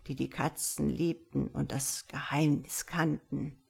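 Woman as a gravelly, patient wise old-world tomcat. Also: low voice, fairly even intonation, voice pushed down, exaggerated voice. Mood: solemn, mysterious. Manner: measured, gently instructive, slightly pompous. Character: wise, patient male tomcat, calm mysterious mentor. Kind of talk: storybook character voice.

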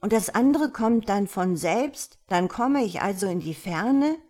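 A woman's voice: mid-range voice, lively intonation, measured pace, mellow. A woman voicing an innocent, curious little boy in a storybook, with a pleading, childlike way of asking. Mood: eager, excited. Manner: pleading, wide-eyed, childlike questioning. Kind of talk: storybook character voice.

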